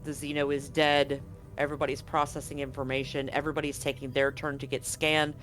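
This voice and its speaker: A man's voice: mid-range voice, flat delivery, brisk, a bit bright. A man narrating a live tabletop role-playing game as game master, explaining things conversationally. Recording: music playing behind the voice. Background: music.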